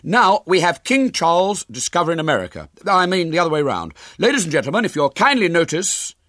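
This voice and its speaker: A man's voice: a little high, sing-song, fairly quick, no echo, clean studio sound, pitched above the performer's own voice. A man voicing a pompous, indignant schoolboy who declaims like a showman in a storybook reading. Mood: stern, indignant. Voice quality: hoarse when shouting. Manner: declamatory, stern, ungrammatical schoolboy speech.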